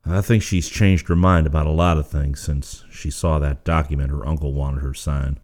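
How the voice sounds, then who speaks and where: A man doing a firm, steady masked hero. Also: rumbling low, moderate pace.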